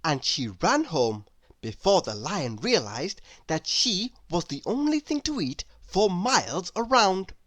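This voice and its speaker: A woman narrates a children's storybook with very animated, swooping intonation. Her voice sits a little low and she takes her time, in an expressive storytelling manner. The sound is close and dry. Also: unhurried, dry recording. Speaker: storybook reader. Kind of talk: scripted read-aloud narration of a children's story.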